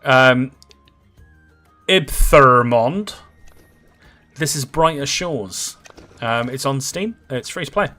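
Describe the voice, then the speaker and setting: Thirties male streamer, rambling and chatty, crisp bright tone, faint game music under him. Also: mid-range voice, lively intonation, slow pace, long pauses. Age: 30s. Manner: rambling, chatty, filler-heavy, reads out and answers viewer comments. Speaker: livestreamer. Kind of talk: casual livestream chat while gaming.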